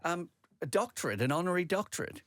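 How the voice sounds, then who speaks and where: Casting: male radio interviewer, slightly high voice, dry close sound, chatty and halting with rambling questions. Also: animated, fairly bright tone, no room sound. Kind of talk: casual interview chat.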